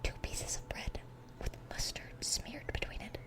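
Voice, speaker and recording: A woman's voice: measured pace, natural voice. Woman playing a whispering, dorky demon girlfriend. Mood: proud, excited. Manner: gushing, rambling, repeats herself eagerly. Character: dorky, excitable, affectionate young female demon girlfriend. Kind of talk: whispered ASMR roleplay.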